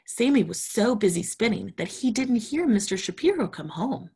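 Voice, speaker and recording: A woman's voice: mid-range voice, lively intonation, moderate pace, creaky, mellow, husky. A woman storytime reader, reading a children's storybook aloud expressively and talking to young children.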